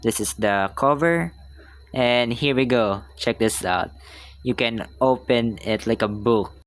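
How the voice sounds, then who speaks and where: A man's voice: mid-range voice, slow. A man doing casual vlog narration as a gaming content creator. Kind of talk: casual vlog voice-over, reading game choices.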